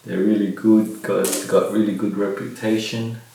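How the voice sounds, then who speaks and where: A middle-aged man with a gravelly voice, casually showing and reviewing a product at a table in a bare room, picked up by a camera a few metres away. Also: on the low side, little pitch movement, moderate pace, dark tone. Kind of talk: casual unboxing demonstration. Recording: very echoey room, distant mic, faint noise.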